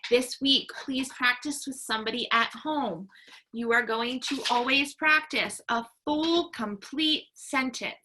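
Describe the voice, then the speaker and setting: High, bright woman teacher talking to children. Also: a little hiss or hum, poor audio. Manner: exaggerated, sing-song child-directed delivery with drawn-out key words; encouraging, praising young learners. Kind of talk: read-aloud lesson for young children on video.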